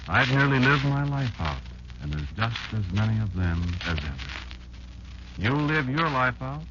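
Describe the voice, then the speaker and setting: An actor playing an old insect elder who warns his child, telling it deliberately and ominously with dramatic pauses in 1940s radio drama style. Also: medium pitch, noisy background. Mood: weary, resolute. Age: older. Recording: muffled old 1940s radio recording, loud music.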